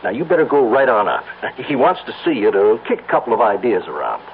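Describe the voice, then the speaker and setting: An American actor playing a breezy, wisecracking agency photographer in an old-time radio drama, slangy and hearty. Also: male voice, medium pitch, big pitch swings, fast, creaky, slight background noise. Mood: ribbing. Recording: muffled vintage radio broadcast, faint music.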